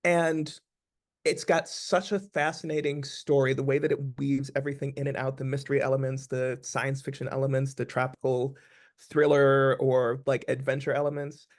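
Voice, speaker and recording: A man's voice: mid-range voice, level tone, normal speed. A man, an actor, talking casually as the guest in an interview.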